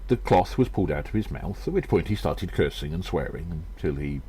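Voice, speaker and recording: A man voicing a gnome adventurer who recounts his exploits slowly, in audio drama style. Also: low-pitched, animated, warm tone, breathy edge. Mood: measured, grave. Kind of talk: first-person audio drama narration.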